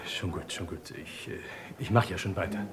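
Deep, indignant bandleader man. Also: moderate pace. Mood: grudging. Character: indignant, stubborn bandleader protesting to police. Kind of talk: radio crime drama acting.

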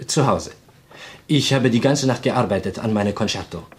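An actor playing a nervous, theatrical pianist in his twenties in a radio play, with a foreign accent and gushing, halting speech. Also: fairly low pitch, lightly acted. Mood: rapturous. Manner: gushing, halting, melodramatic, inverted word order.

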